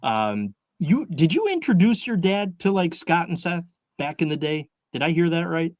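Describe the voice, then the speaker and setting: A man's voice: a little high, sing-song, moderate pace, dry recording, clean studio sound. A man in his forties hosting a podcast, chatty and joking, asking questions in fits and starts. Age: 40s. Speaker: podcast host. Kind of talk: casual interview.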